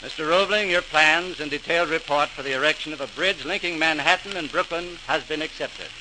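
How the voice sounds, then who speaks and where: Male actor: ceremonious official, fairly high voice, declamatory period radio delivery. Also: brisk, music in the background, close to an everyday voice, rough recording. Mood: official, approving. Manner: formal, stately, declamatory. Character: formal male official granting an appointment. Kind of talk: 1940s radio drama acting.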